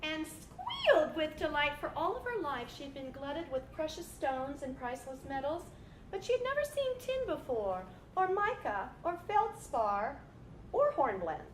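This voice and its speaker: High-pitched woman storyteller, echoey room. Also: big pitch swings, moderate pace, a little hiss or hum. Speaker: narrator in a staged reading. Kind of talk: dramatized literary storytelling. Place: live staged reading in a room.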